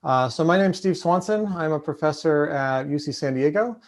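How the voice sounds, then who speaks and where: A man hosting an online panel over a video call, talking in a relaxed way with many fillers. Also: on the high side, normal speed. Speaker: host, professor.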